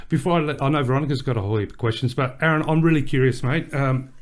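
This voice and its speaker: A man's voice: mid-range voice, darkish tone. A man hosting a podcast, asking long, rambling questions in a casual, friendly way. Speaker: podcast host.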